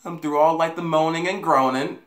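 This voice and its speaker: A man's voice: a little high, steady tone, a little fast, a bit bright. A young American man telling a casual story to camera in a rambling, repetitive, profane way, recorded in a bedroom on a camera mic a few feet away. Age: young adult. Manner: rambling, profane, repeats himself. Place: small bedroom, indoors. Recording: echoey room.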